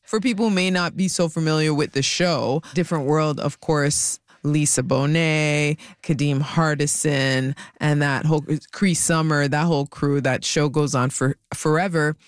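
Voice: mid-range voice, fairly even intonation, clean tone, dry recording, clean studio sound. A podcast host asking a guest questions and filling in names and details. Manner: encouraging, fills in names and details, quick laughs. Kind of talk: casual interview.

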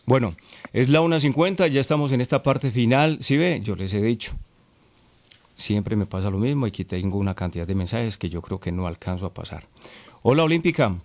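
A man's voice: mid-range voice, expressive, darkish tone, no echo. A man with a Colombian accent hosting a radio call-in show, chatting casually and reading listener messages. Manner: chatty, drawn-out agreeing. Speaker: radio host.

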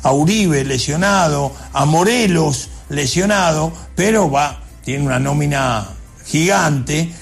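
A man's voice: on the high side, slow pace, gravelly, slightly rough, soft background music. A man giving an emphatic sports preview, hammering points home with rhetorical repetition. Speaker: sports commentator.

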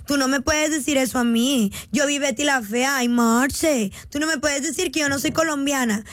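A young Mexican woman streaming, chatting in an animated, joking, rambling way. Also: sits high, no room sound. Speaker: streamer reading viewer voice notes. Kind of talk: casual livestream chat.

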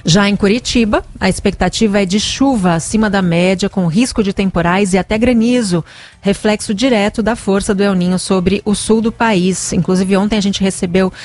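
A woman's voice: medium pitch, moderate pace, darkish tone. A woman hosting a live morning news show, giving the time and weather in a chatty way. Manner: chatty, conversational presenting.

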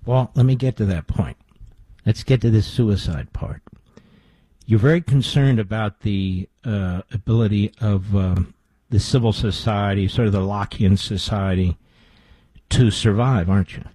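A male radio host with a low, somewhat husky voice. He asks probing questions in an easy, conversational manner. His voice has a dark, mellow tone in a dry studio sound. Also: unhurried, dry recording. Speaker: radio talk show host. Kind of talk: radio interview.

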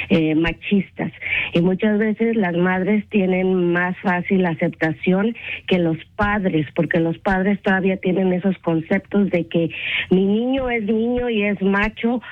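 A woman's voice: medium pitch, no echo. A woman calling in to a radio show, giving earnest community information. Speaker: caller. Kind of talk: phoned-in community announcement. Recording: tinny dry phone line.

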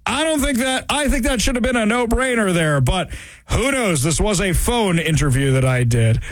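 A male talk radio host with a lively, swooping delivery and a somewhat high voice. He talks casually and wryly into a studio mic. The sound is dry and close. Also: normal speed, no room sound. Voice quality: high-pitched laugh. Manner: animated, chatty, wry asides. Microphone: studio microphone.

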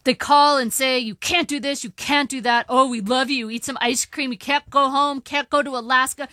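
A woman's voice: high-pitched, fairly even intonation, moderate pace, bright, forceful tone, dry recording, studio quality, voice pushed up. A woman voicing an agitated, self-pitying old father in a story, ranting plaintively. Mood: aggrieved.

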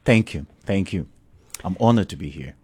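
A middle-aged pastor with a Ugandan accent, speaking in an earnest, repetitive way as an interview guest. His voice sits low and sounds husky. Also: lively intonation, moderate pace, darkish tone. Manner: earnest, repeats himself.

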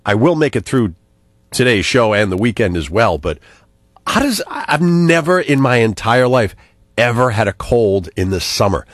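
A man's voice: medium pitch, very animated, moderate pace, dry recording, studio quality. A male radio host with a stuffy cold, chatting casually on air and grumbling good-naturedly. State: congested with a cold.

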